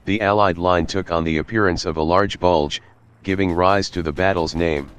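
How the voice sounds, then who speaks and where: An actor as a solemn narrator reading scripted war history for a video game in a documentary style. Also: male voice, sits low, fairly bright tone. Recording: music bed behind narration.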